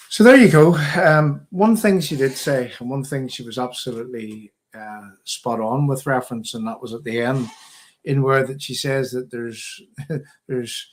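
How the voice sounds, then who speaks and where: A man with a medium-pitched voice hosts a political discussion. His commentary is informal and conversational, delivered in halting stretches.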